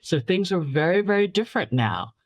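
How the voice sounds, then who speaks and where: A woman's voice: lowish voice, very animated, brisk, no echo. A woman from New York City whose first language was Chinese, telling stories in an interview and repeating words for emphasis. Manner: warm storyteller, repeats words for emphasis, laughs lightly. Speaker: guest filmmaker. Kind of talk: casual interview talk.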